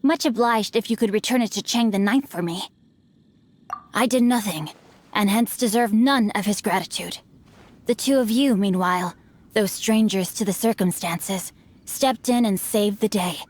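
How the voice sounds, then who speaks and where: A woman's voice: medium pitch, normal speed, a little mellow, natural voice. A woman voicing a witty, bookish young male heir in a game cutscene, speaking formally with dry, teasing wit.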